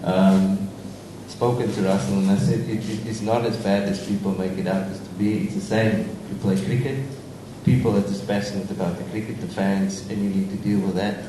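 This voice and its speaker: Distant, noisy recording: South African male coach, lower register, answering questions at a press conference. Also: little pitch movement, normal speed. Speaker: cricket coach. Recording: very echoey, distant, noisy room.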